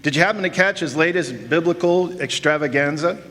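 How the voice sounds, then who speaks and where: Male actor as a slick, evasive Hollywood agent, slightly high voice, echoing hall. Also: brisk, fairly bright tone, close to an everyday voice. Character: sleazy smooth-talking male Hollywood agent. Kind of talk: 1940s-style noir radio acting. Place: live stage performance in a hall. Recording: long hall reverb.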